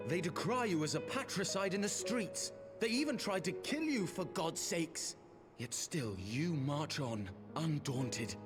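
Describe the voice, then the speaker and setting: An actor playing an earnest, troubled young nobleman in a video game, pleading formally and dramatically. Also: a little high. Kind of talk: scripted video game cutscene acting. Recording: music behind the voice.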